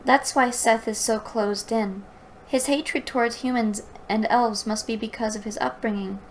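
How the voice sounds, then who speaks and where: A woman's voice: fairly high pitch, fairly even intonation, moderate pace, slight background noise, lightly acted. Woman reading as an earnest bookish young heroine. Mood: explaining. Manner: earnest, explaining.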